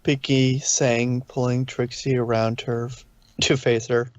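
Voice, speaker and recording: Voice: mid-range voice, flat delivery, unhurried, a little mellow, dry recording. Dry, muffled storybook narrator. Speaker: narrator, fan fiction reader. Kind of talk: storybook narration read aloud.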